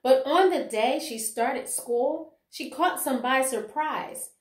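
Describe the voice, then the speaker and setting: A middle-aged woman with a fairly high voice speaks as an educator presenting a children's book. Her delivery is deliberate and storyteller-like, and the room around her adds clear echo. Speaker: educator reading a children's picture book aloud. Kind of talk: expressive read-aloud and book commentary for children and teachers. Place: small room at home, indoors. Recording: roomy indoor echo.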